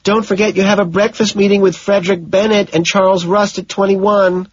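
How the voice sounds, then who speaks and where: Man voicing an eager, vulnerable young woman, husky. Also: high voice, little pitch movement, brisk, no room sound, dull audio, voice pushed up. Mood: calling out. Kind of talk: dramatised audiobook narration.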